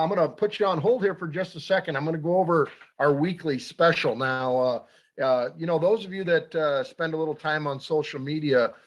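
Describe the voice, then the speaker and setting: A man hosting a hunting talk show, talking casually with lots of fillers and restarts. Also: a little high. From Iowa. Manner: halting, many fillers and restarts. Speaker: radio show host.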